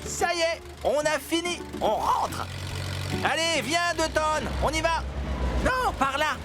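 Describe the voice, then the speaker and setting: Very high, eager cartoon machine. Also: male voice, lively intonation, takes it slowly, full character voice. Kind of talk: French children's cartoon dub. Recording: loud music behind voice.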